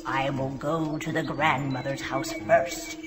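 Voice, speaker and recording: An actor playing a sly, greedy big bad wolf in a children's fairy tale, with a raspy growl and drawn-out, menacing wheedling. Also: mid-range voice, flat delivery, over music, natural voice. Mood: gloating.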